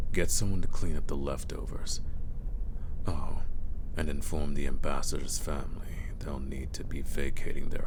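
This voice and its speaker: Casting: a man to play a ruthless, imperious undead lord for fantasy ASMR; bottomless bass, heavy fry, breathy, world-weary formal delivery. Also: noisy background, heavily acted. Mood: coldly commanding. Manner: formal, imperious, measured, addressing a servant. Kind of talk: dark fantasy ASMR audio roleplay.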